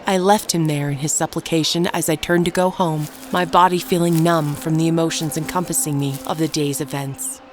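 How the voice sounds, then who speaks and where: A woman playing a wronged, bitter village wife, telling her own dark fantasy tale in solemn, deliberate scripted narration. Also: low voice, steady tone, a little hiss or hum, natural voice. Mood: bitter, resolute. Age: middle-aged. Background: music.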